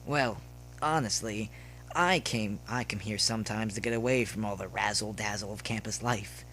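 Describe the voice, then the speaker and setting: A man's voice: fairly low pitch, normal speed, bright, forceful tone, slight background noise, low-quality recording. A man voicing a friendly, upbeat young male student pony in a story reading, casual and inquisitive. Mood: earnest. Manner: casual, inquisitive, slightly hesitant.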